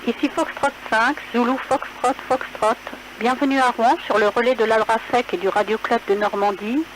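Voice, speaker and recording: Woman, slightly high voice, scripted radio relay announcement, two-way radio transmission, clear noise. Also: fairly even intonation, normal speed. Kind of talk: scripted station identification announcement. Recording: noisy recorded relay announcement over radio.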